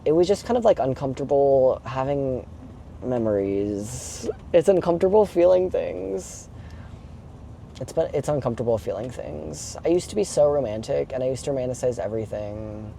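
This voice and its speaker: A man's voice: medium pitch, expressive, moderate pace, warm tone. A young man chatting casually and confessionally while driving a truck, in an informal, sweary, rambling way peppered with "like". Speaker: vlogger. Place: inside a moving box truck. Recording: clear vehicle and road noise. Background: engine and road noise.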